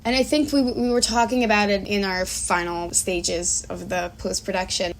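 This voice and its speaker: Woman chatting informally, mid-pitched, faint noise. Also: fairly even intonation, normal speed, poor audio. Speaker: interview guest, filmmaker. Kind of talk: casual chat.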